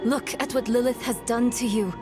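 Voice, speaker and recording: A woman's voice: fairly high pitch, fairly even intonation, normal speed, close to an everyday voice. A woman playing a young, stubborn, worried daughter in a fantasy game cutscene, urgent and insistent. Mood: urgent, pleading. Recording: cinematic music behind.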